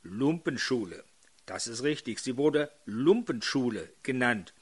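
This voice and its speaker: Hoarse older man preaching slowly. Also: mid-range voice, expressive. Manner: addresses congregation with questions. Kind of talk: sermon.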